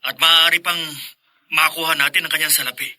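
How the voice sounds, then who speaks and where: A greedy, conspiring accomplice, voiced by a man in a radio drama, with a sharp, tense, slightly high voice that rushes through his plotting. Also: steady tone. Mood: scheming. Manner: conspiratorial, hurried.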